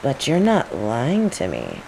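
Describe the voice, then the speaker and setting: A woman's voice: very deep voice, slow, vocal fry, full character voice, poor audio. A woman playing a teasing rival mage who interrogates a captive with casual, coaxing menace in an audio roleplay. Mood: quietly threatening. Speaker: voice actor in scripted audio roleplay. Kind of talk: intimate scripted audio roleplay acting. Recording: steady rain noise behind the voice. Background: rain.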